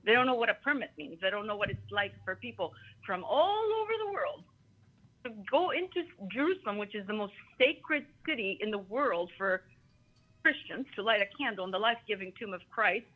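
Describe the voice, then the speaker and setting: A woman's voice: medium pitch, very animated, a little fast, dry recording. Woman from Greece, talkative and emphatic event speaker, digressive personal testimony, narrow telephone-like audio. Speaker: symposium speaker. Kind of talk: informal personal testimony at a symposium. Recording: thin phone-like call audio.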